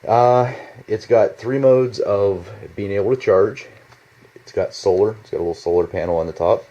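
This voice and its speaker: Male amateur reviewer, deep register, informal and unscripted, meandering delivery, somewhat dull lo-fi basement recording. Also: fairly even intonation, warm tone, a little hiss or hum. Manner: halting, rambling, informal with mild swearing. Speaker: product reviewer. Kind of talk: casual unscripted product demonstration. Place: indoors in a home basement. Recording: slightly dull, lo-fi home video sound.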